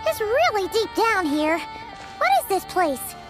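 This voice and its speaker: Casting: tiny chirpy game fairy, extremely high childlike voice, very animated, over prominent music. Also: female voice, a little slow, heavily acted. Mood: curious, surprised. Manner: squeaky, exclaiming, childlike. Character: tiny childlike fairy companion, chirpy, loyal and easily startled. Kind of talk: anime-style game voice acting.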